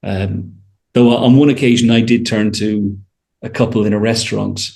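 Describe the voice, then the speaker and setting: A man's voice: lowish voice, fairly even intonation, normal speed, creaky, darkish tone. A middle-aged man talking as an interview guest on a video call, wry and a little hesitant. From Ireland. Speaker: interview guest, winery owner. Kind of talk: casual anecdotal conversation. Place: indoor room, on a video call.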